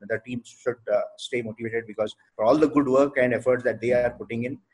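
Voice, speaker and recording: A man with an Indian English accent, a company co-founder answering interview questions thoughtfully, with many pauses and fillers. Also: medium pitch, fairly even intonation, a little mellow, breathy edge, rough recording. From Odisha, India.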